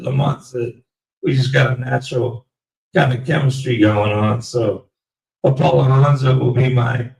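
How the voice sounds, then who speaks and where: A man closing out his live stream in a rambling, halting way, his voice going raspy. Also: medium pitch, echoey room, far from the mic, rough recording. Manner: rambling, slow and halting with many fillers. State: voice getting raspy. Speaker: livestream host. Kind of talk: casual live stream sign-off.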